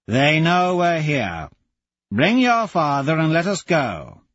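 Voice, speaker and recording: A man's voice: fairly high pitch, wildly swooping pitch, slow, fairly bright tone, no echo, highs cut off, pitched above the performer's own voice, full character voice. A man voicing an impatient military officer who speaks in a drawl.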